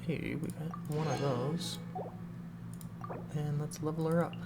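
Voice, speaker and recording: Slow, creaky-voiced male game streamer. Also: fairly high pitch, steady tone, very noisy. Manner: unhurried thinking aloud. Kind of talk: casual live gameplay commentary. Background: loud game music.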